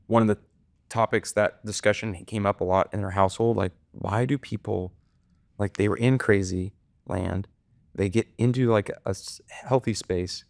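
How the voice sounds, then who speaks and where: A man's voice: lowish voice, creaky, darkish tone, no room sound. A man co-hosting a podcast, talking casually with loose phrasing and lots of fillers. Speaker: podcast co-host. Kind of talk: podcast interview.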